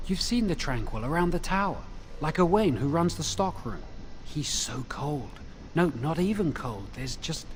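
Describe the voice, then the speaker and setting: A man's voice: fairly high pitch, slight background noise. A man playing an anxious, bitter young mage apprentice in a video game.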